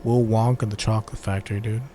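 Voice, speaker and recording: A young man with a fairly low, tired-sounding voice talks through a retro game in loose, slangy commentary. He swears freely when things go wrong, then settles back into relaxed chatter over a faint hum. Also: quick pace. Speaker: gameplay commentator. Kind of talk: casual let's-play commentary.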